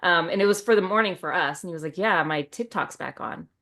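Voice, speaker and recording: A woman's voice: fairly low pitch, a little fast, fairly bright tone. A middle-aged woman chatting casually as a podcast host, retelling things in a wry, self-mocking way with quoted dialogue.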